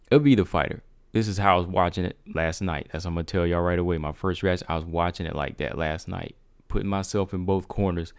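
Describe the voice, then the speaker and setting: A man's voice: sits low, smooth, no room sound, clean studio sound. A man hosting a casual MMA livestream, asking his viewers rhetorical questions. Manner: talks straight to viewers, asks rhetorical questions. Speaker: MMA talk show host.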